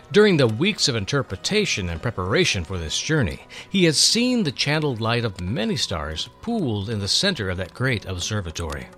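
Male narrator for dramatic audio storytelling, very expressive range, theatrical, music bed. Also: on the low side. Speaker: host and storyteller.

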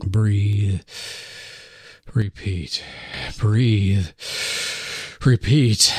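Male narrator, dramatic reading: slow, deliberate, very lively pitch. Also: dry recording, studio quality. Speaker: dramatic reader, radio host.

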